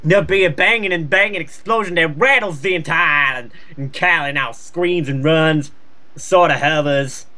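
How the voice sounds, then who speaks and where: Bright-voiced, sarcastic man reading aloud. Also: fairly high pitch, moderate pace. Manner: profane, sarcastic. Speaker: fan fiction reader and commentator. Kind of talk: mocking read-aloud with riffing commentary.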